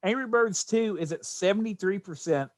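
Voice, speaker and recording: Middle-aged male podcaster, slightly high register, conversational delivery, close dry sound. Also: moderate pace, no echo. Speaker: podcast co-host. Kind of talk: casual podcast discussion.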